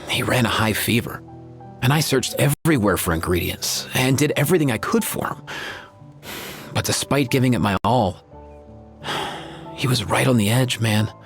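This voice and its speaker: A man's voice: medium pitch, moderate pace, creaky, long pauses, close to an everyday voice. A man playing an easygoing, sincere young creature veterinarian in a video game, speaking in casual slang. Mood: somber, sincere. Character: laid-back, caring young male creature veterinarian. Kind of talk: video game character acting. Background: faint music.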